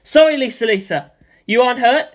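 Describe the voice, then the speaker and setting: A narrator voicing a brash, earnest young male fighter pilot in an excitable storybook reading. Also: mid-range voice, brisk, voice pushed up, natural voice. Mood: anxious.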